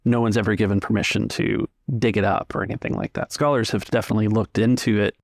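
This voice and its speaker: A man working as game master, narrating a tabletop roleplaying game in measured, halting descriptions with pauses. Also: lowish voice, fairly even intonation, creaky, dry recording, studio quality.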